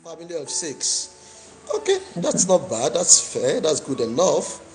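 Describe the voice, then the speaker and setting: A Nigerian man hosts an interview with a slightly high voice. He talks in a measured way and often rephrases his words. Soft music plays faintly behind him. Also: sing-song. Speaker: host.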